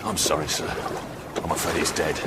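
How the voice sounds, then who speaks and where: An actor playing a gloomy, respectful sailor in a rescue boat in a radio drama, sombre and deferential. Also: male voice, very deep voice, flat delivery, a little fast. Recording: noisy boat and water ambience.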